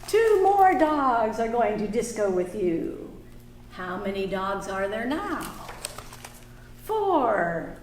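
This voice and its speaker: A woman reading a picture book aloud to small children at a library storytime, singing the refrains. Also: on the high side. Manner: slow, singsong, animated for small children. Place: library room. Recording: echoey room, faint background noise.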